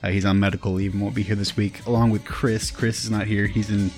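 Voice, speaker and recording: Laid-back guy hosting a podcast, low voice, teases and cusses a lot. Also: level tone, fast, a little mellow, soft background music. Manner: loose, teasing, swears casually. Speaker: podcast host. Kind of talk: casual podcast chat.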